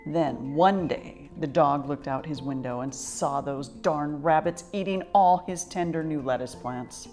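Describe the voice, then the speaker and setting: A woman reading a children's picture book aloud in an expressive scripted storytelling style. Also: low-pitched, measured pace, few pauses, soft background music. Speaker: storybook reader.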